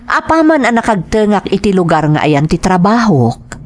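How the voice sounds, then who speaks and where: A woman's voice: mid-range voice, sing-song, moderate pace. A woman acting an anguished, devoted daughter and girlfriend in an audio drama, speaking emotionally and pleadingly with an Ilocano accent. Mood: sombre narration. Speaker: lead actor and first-person narrator.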